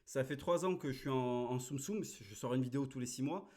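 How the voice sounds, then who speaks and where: Brief: man, 30s, host, blunt and crude, mid-pitched, casual reaction talk. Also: brisk, darkish tone.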